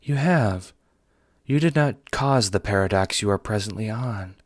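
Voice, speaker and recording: A man voicing a blunt female alien troll time traveler in an audio drama, speaking formally and with measured care. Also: on the low side, animated. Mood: blunt, solemn. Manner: formal, measured, few contractions.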